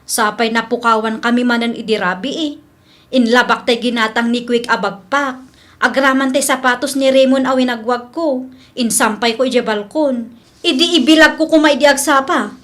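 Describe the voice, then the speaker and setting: A woman playing a gossipy, suspicious village woman in a radio comedy drama, with a drawn-out, complaining delivery. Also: on the high side, steady tone, a bit bright, lightly acted. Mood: aggrieved.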